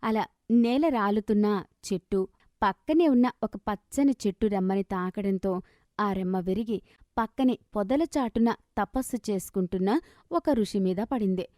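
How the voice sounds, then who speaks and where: A woman's voice: medium pitch, moderate pace, mellow, no room sound, clean studio sound. A woman narrating a scripted moral story as a storyteller.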